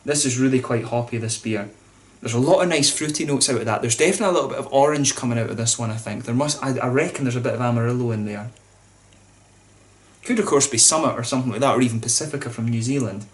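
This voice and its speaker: Fast-talking man reviewing beer. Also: mid-range voice. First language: English. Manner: chatty, informal, many fillers and restarts. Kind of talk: casual beer review to camera.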